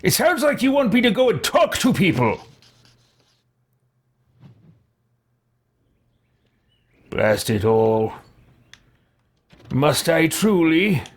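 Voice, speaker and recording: A man's voice: a little high, sing-song, a little slow. A man voicing a brash, grumbling adventurer hero for a fantasy game, declaiming theatrically. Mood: exasperated.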